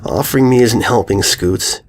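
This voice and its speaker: A man's voice: medium pitch, moderate pace, lightly acted. A man voicing a sick, hungry, anxious young filly in a fanfiction audiobook, with a weak, scratchy delivery. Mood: weak, groaning.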